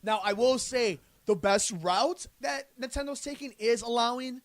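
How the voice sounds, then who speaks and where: Podcast co-host, male, higher voice, emphatic casual debater, dry studio sound. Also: normal speed, no room sound. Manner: emphatic, argumentative, restarts often. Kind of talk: casual chat.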